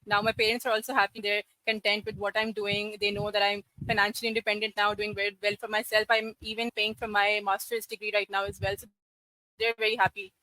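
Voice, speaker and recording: A woman in her twenties from Karachi, a podcast guest telling her career story in a steady, articulate, matter-of-fact way. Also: mid-range voice, fast, dry recording, low-quality recording. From Karachi, Pakistan. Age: 20s. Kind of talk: podcast interview.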